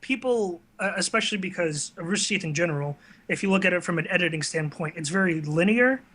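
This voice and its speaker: Bright-voiced man chatting as interview guest. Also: sits high, expressive, quick pace. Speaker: guest, online video series creator. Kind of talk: casual interview chat.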